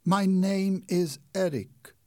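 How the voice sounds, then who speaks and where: Brief: tormented lovesick phantom in a mask, a man acts him, slow, pleading, dry close sound. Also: high-pitched, mellow, no room sound, voice pushed up. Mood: anguished. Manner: pleading, anguished.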